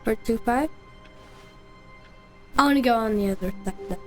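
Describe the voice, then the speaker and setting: A woman's voice: on the high side, moderate pace, darkish tone, faint music behind. Chatty woman playing a game. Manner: chatty, narrates her own moves. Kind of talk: casual gameplay chat.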